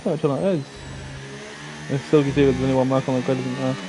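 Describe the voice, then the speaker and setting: A man's voice: mid-range voice, steady tone, quick pace, background noise. A young man giving live, chatty commentary on an online racing league, thinking aloud and talking to viewers. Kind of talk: live esports race commentary.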